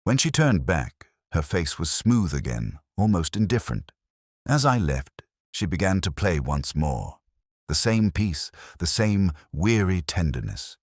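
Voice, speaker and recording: Casting: male narrator, middle-aged, extremely low husky voice, measured and brooding, for literary detective fiction. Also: sing-song, darkish tone, dry recording, clean studio sound. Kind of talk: scripted first-person audiobook narration.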